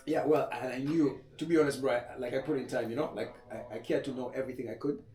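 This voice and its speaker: A young man from Kenya, a tattoo artist, chatting casually on a podcast in a relaxed, slangy way. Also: mid-range voice, fast talker, far from the mic, faint music behind. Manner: laid-back, slangy, laughs often. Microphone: podcast mic set back. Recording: echoey room, voice off-mic.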